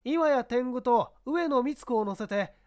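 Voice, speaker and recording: Man doing a high-pitched, harried policeman. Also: expressive, a little slow. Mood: eager. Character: harried, deferential male police subordinate reporting to his boss. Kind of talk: dramatized mystery audiobook reading.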